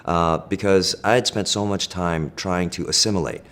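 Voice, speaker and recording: A middle-aged man, a native Korean speaker raised in the United States, giving thoughtful, measured interview answers with frequent fillers and restarts. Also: low voice, a bit bright. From Korea, raised in Pennsylvania.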